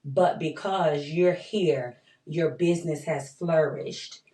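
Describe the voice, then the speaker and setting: A woman's voice: low-pitched, slow, mellow. A woman hosting an interview, chatty and affirming, often tagging her remarks with "right?" and speaking in casual grammar. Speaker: interview host. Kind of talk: conversational interview. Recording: roomy sound, mic at a distance.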